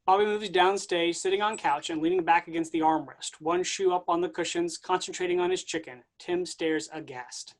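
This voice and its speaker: A man reading stage directions aloud in a staged play reading, steady and plain. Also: high-pitched, normal speed, hardly any pauses.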